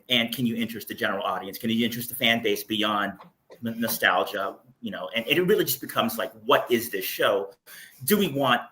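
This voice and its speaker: A man's voice: medium pitch, pushed and bright. A man speaking as a panel guest in a casual, opinionated discussion, building his points emphatically through repetition.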